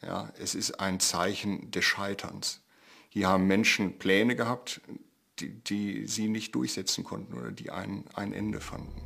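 Male expert interviewee, deep pitch, gravelly fry, explanatory unscripted speech. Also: unhurried, a bit bright. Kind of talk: spontaneous documentary interview commentary.

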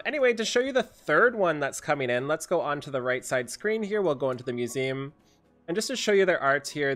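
A young man with a slightly high voice talks casually as a gaming video host. He gushes in an excitable, exaggerated way and likes to repeat himself for emphasis. Music plays behind him. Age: young adult. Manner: excitable, repetitive, hyperbolic. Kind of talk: casual game update commentary.

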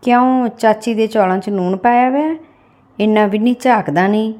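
A woman's voice: fairly high pitch, normal speed, a little mellow, close to an everyday voice. A woman narrator voicing a spiteful, sarcastic village wife in a story, taunting and scolding. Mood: sly taunting. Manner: sarcastic, nagging.

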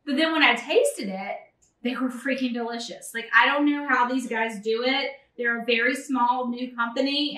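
A bright, high-pitched woman talks through a recipe in her thirties, chatty and eager with her viewers. She is picked up by a camera a few feet away in a large home kitchen, so the sound is roomy and a little distant. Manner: chatty and enthusiastic, addresses viewers as y'all. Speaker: cooking channel host. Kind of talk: casual cooking vlog. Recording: echoey kitchen, voice a bit off-mic.

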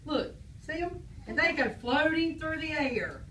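A woman's voice: high voice, sing-song, a little slow, fairly bright tone, a little hiss or hum. A woman teacher, quizzing and praising a class of young children after reading aloud. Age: adult. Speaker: preschool teacher. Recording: echoey classroom from far off.

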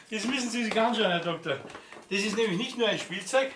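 A man's voice: high voice, normal speed, background noise, lightly acted. A man playing a nosy, chatty mechanic with a Bavarian accent in a children's radio play, hesitant and insinuating. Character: nosy, chatty, fussy male mechanic friend.

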